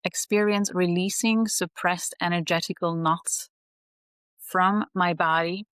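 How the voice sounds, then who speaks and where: A woman, speaking as a guest in an earnest, measured way. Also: fairly low pitch, clean tone, no room sound. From Slovakia. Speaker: astrology teacher, guest. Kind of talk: reflective interview talk.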